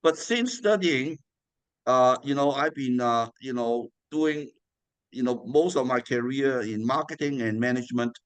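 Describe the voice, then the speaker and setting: A man's voice: medium pitch, measured pace, clear, resonant tone, dry recording. A man hosting an interview, asking friendly, rambling questions with lots of fillers. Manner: friendly, rambling with many "you know" fillers. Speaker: interview host.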